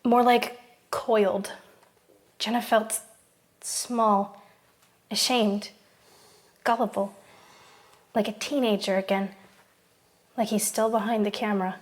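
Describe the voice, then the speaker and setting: A woman playing a hurt but resolute young woman in an audio drama, at an ordinary pitch. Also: fairly even intonation, slow, long pauses, natural voice. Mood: bitter, reflective. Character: wounded but resolute young woman confronting her abuser.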